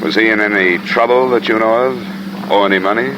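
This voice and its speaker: An actor playing a cool, dry American insurance investigator in an old-time radio drama, clipped and matter-of-fact. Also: male voice, lowish voice, fairly quick, background noise, lightly acted. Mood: probing. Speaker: radio drama lead and narrator. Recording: vintage radio recording with music behind.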